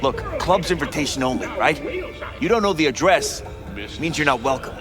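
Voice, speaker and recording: A defensive male street informant voiced by an actor for a video game. His voice sits fairly high and he is agitated, profane and grudging. Music plays clearly in the background. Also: lively intonation, normal speed, close to an everyday voice. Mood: dismissive. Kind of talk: video game dialogue acting.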